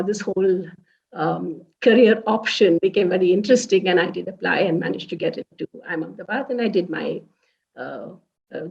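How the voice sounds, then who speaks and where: A woman in her fifties from India with a South Indian English accent, looking back on her life in a reflective interview, pausing often on "uh". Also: mid-range voice, creaky, a little mellow, distant mic, lo-fi sound. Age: 50s. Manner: reflective, many "uh" fillers and restarts. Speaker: senior tech executive. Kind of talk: interview recollection.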